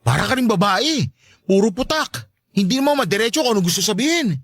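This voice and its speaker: High, animated, touchy stepfather, acted by a man. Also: fairly quick, dry recording, clean studio sound, heavily acted. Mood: exasperated, defensive. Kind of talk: Tagalog radio drama acting.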